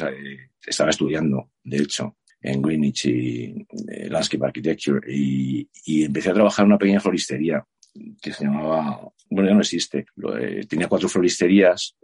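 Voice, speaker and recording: Man, very deep husky voice, rambling guest with lots of restarts. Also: moderate pace, darkish tone. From Madrid, Spain. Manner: self-deprecating, rambling with many restarts and fillers. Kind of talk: casual interview chat.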